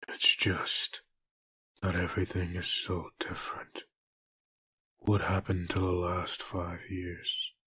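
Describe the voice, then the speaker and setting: A man's voice: low voice, monotone, slow, sharp tone, breathy edge, dry recording, heavily acted. A man voicing a haunted, brooding male antihero in a murmured inner monologue for a fan comic dub.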